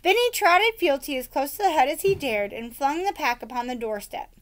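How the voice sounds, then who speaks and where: A woman's voice: sits high, lively intonation, fairly quick, a little hiss or hum. A woman reading a storybook aloud to children and explaining it plainly. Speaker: read-aloud reader for children.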